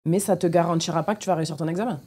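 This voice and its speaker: Low, mellow-voiced woman. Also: very fast, slightly rough. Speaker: elite jiu-jitsu athlete, podcast guest. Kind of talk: explanatory interview talk.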